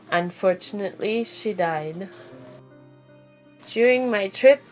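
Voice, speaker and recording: A woman's voice: fairly low pitch, low-quality recording. Woman narrating a life story over music. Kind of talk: scripted first-person biographical narration. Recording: music behind the voice. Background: background music.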